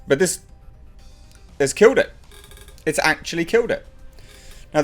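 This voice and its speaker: A man giving casual, enthusiastic movie news commentary as an online reviewer, leaning on emphatic repetition. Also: mid-range voice. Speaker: YouTube film news reviewer.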